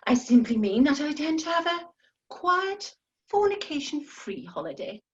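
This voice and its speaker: A woman's voice: high-pitched, sing-song, measured pace. A woman playing a prim, earnest, pious spinster in a comedy radio play. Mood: affronted. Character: prim, lonely, pious, easily affronted spinster. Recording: dull, muffled home recording.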